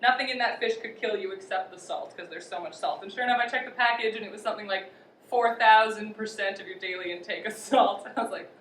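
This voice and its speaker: A young woman hosting a casual outdoor cooking demo, chatty and quick to laugh. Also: mid-range voice, fairly bright tone. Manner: chatty, laughs often, upbeat. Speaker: outdoor cooking show host. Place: outdoor cooking spot at a river park. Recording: echoey, distant, faint noise.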